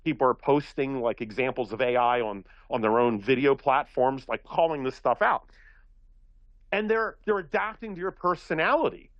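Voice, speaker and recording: A man from Philadelphia talking in a casual radio chat, rambling and animated, with many restarts. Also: medium pitch, moderate pace, dry recording, dull audio.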